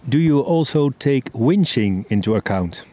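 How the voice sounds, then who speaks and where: A man's voice: medium pitch, animated, a little slow, dark tone, slight background noise. A male host asking an interview guest short, direct questions.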